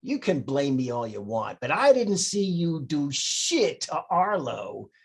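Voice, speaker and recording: Slow, husky man acting a tormented priest. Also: a little high, very animated, full character voice. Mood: taunting, bitter. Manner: dramatic, deliberate delivery. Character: male priest and his taunting, bitter demonic alter ego. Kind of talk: screenplay table read.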